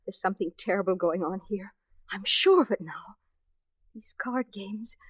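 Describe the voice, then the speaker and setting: A woman's voice: mid-range voice, moderate pace, slightly rough, dry recording, natural voice. An actress playing a frightened, tender young woman in a 1940s radio melodrama, earnest and breathless.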